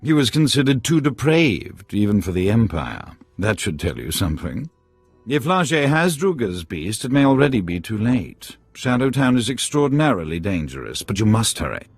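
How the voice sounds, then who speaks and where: A man's voice: fairly low pitch, sing-song, normal speed, slightly rough, dry recording, heavily acted. A courtly alien henchman for a game, super formal and flowery, lilts up and down, music behind. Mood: grave, measured. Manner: formal, florid, speaks as "we". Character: formal, courtly alien man speaking for a crime boss. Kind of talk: video game character acting. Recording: music under the voice.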